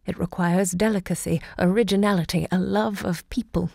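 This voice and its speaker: An actress playing a grand, impassioned woman who hosts a literary salon, looking back in deliberate, theatrical monologue. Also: on the low side, fairly even intonation, vocal fry, darkish tone, no echo, studio quality. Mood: persuasive, confiding. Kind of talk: theatrical audio drama monologue.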